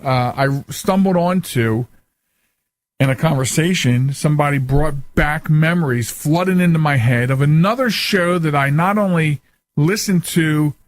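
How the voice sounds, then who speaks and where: An American man hosting a radio talk show in a casual, chatty, folksy and joking way. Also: a little high.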